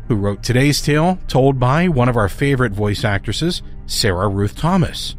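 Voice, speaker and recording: Guy doing a scripted podcast intro, mid-pitched voice, some music under it. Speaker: podcast host.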